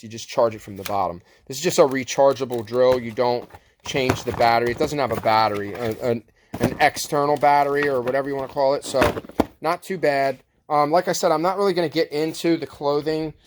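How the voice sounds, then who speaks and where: Man, mid-pitched voice, casual reseller vlog, unscripted, slangy, rambling, self-correcting. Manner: rambling, trailing off and correcting himself; laid-back slangy talk. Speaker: thrift reseller showing a haul. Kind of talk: casual unscripted show-and-tell.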